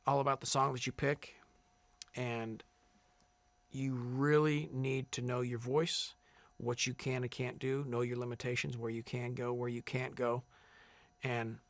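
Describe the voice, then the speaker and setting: A man who sings as a contestant, answering interview questions in a relaxed, rambling way with many fillers and restarts. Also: mid-range voice, no echo. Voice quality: big loud singing voice. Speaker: singing contestant.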